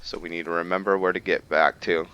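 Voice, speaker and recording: A man giving casual roleplay game commentary, thinking aloud in a halting way and trailing off mid-sentence. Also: low voice, fairly even intonation, lo-fi sound, noisy background. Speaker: gaming video host.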